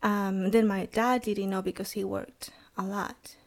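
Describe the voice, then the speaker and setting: A woman in her thirties from El Salvador with a Salvadoran Spanish accent, sharing her personal testimony as a podcast guest in a halting way, with many pauses and restarts. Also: medium pitch, steady tone. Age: 30s.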